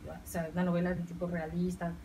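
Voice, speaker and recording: A woman's voice: lowish voice, monotone, fast. A middle-aged woman speaking on a university panel, reflective and searching for words. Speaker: panel guest writer. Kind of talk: panel discussion. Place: university lecture room, seated at panel table. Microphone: table stand mic heard from far camera. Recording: distant, faint hiss.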